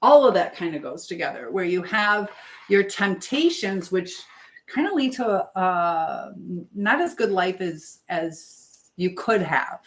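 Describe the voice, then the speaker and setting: A woman's voice: mid-range voice, sing-song, measured pace. A woman hosting a casual live chat, rambling and trailing off as she answers viewers while busy with something else. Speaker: livestream host.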